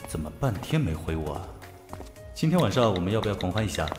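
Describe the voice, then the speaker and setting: A young man acting a cocky, teasing, hot-tempered high school boy in an audio drama, expressive and easily exasperated. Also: fast. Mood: cocky, eager. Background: background music.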